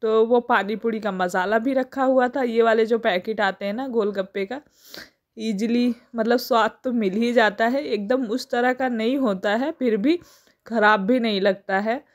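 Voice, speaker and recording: A woman's voice: fairly high pitch, a little slow. A woman in her thirties from India, chatting casually through a family vlog, her throat sore. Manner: chatty, homely, laughs often. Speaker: family vlogger. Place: home and market.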